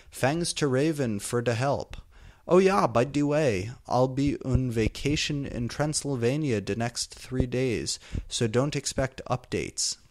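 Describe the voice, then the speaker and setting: Man giving a theatrical comedic reading. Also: medium pitch. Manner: theatrical, exaggerated delivery. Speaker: fan fiction reader.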